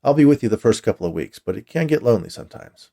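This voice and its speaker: A man reads as a seasoned minder in an audio short story, low-voiced and creaky. He explains the job in a plain, matter-of-fact way to a newcomer. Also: expressive, fast, mellow. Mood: briskly instructing. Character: matter-of-fact veteran watcher training a new hire.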